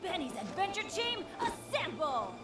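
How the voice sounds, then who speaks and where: A woman's voice: very high voice, very animated, normal speed, over loud music. Eager young boy adventurer, wildly swooping. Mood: rallying cheer. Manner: eager, exclamatory delivery. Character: plucky, unlucky, cheerful young boy adventurer. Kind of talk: video game voice acting. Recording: roomy game audio under loud noise.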